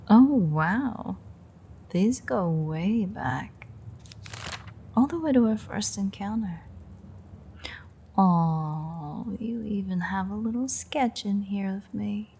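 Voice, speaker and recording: A woman playing a smug, predatory female vampire who teases and menaces her captive in an intimate, unhurried audio roleplay monologue. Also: mid-range voice, warm tone, slight background noise. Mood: amused, mock-sweet. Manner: teasing, sardonic, unhurried, menacing.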